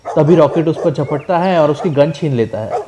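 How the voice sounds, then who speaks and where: A man's voice: fairly high pitch, normal speed, background noise. A man narrating scripted comic book stories as a storyteller.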